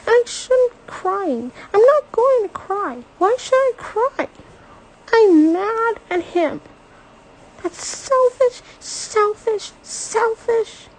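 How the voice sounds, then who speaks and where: A woman voicing a teenage girl who is crying and furious in her grief, with shrill, sobbing outbursts. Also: animated, slow pace, exaggerated voice, poor audio. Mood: furious, tearful.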